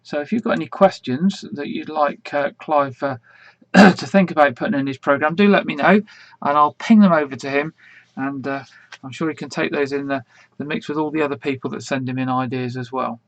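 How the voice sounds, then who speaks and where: A man hosting an online quiz in a casual, rambling way, full of fillers, with a slightly croaky voice. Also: a little high, brisk, no room sound, muffled recording. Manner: chatty, rambling, many fillers and restarts. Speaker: quiz host.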